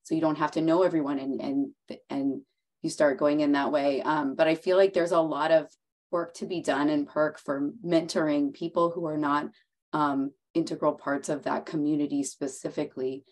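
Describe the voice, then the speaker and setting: Low-voiced woman getting over a cold. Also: fairly even intonation, darkish tone. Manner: chatty, quick, filler-heavy. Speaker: panelist. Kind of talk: online panel talk.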